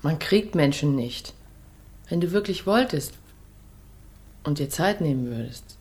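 An actress playing a cool, slightly disillusioned nurse in intimate radio drama dialogue, terse and understated. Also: female voice, low-pitched, expressive, a little slow, darkish tone. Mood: resigned.

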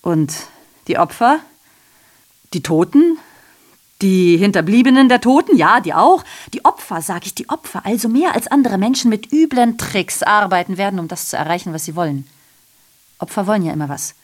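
An actress playing an ironic, rambling messenger in a radio drama monologue, sardonic, declamatory and interrupting herself. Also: female voice, medium pitch, animated, moderate pace, natural voice. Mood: proud, declamatory. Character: ironic, rambling female messenger addressing the audience.

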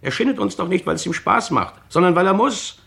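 A defiant working man in a radio crime drama. His voice is gravelly and swings widely in pitch as he argues in earthy, coarse words. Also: lively intonation, a little fast, a bit bright, full character voice. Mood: bitter, stubborn. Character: defiant, blunt male factory worker, gruff and plain-spoken.

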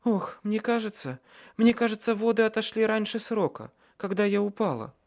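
A man's voice: high voice, lively intonation, normal speed, pitched above the performer's own voice. A man voicing a distressed pregnant woman in a storybook, strained, gasping and pleading. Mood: pained.

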